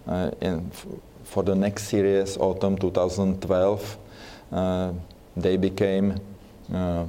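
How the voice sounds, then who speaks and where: A man with a Czech accent giving a church seminar talk, speaking haltingly with many fillers and restarts. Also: sits low, completely flat delivery, dark tone, a little hiss or hum. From Czech Republic.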